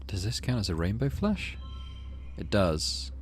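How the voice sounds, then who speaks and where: Low-voiced man narrating a video game. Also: big pitch swings, a little slow. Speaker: let's play host. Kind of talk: gameplay commentary and reading game text aloud. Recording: clear background noise.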